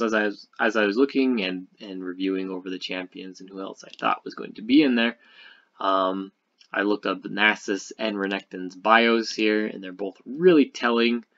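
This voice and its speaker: A man's voice: fairly low pitch, a bit bright, muffled recording. A man giving casual, speculative game commentary over a screen share, rambling and thinking aloud. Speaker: gaming video creator.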